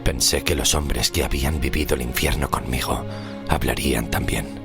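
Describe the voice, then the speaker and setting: A haunted old-world sailor, played by a male actor, recounts his survival in an audio horror drama. His voice is rock-bottom low and heavy with vocal fry. He speaks gravely and deliberately, sinking into near-whispers over clearly audible music. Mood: grave, bitter. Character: haunted, scarred 16th-century sailor, outcast survivor telling his story. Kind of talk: first-person narration in an audio horror drama.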